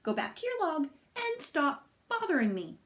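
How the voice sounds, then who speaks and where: A woman voicing a cranky mother turtle in a storybook, scolding in a hurried, snappish way. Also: high voice, huge pitch swings, fairly bright tone, heavily acted.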